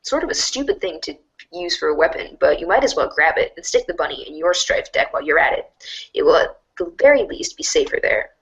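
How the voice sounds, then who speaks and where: A woman narrating a second-person storybook in an expressive, wry way. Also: lowish voice, brisk, gravelly, muffled recording.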